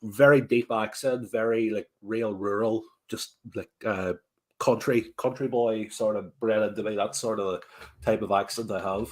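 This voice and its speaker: Casting: young Northern Irish man, casual call chat, halting delivery. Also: lowish voice, steady tone. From Ireland. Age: 20s. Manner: halting, repeats words.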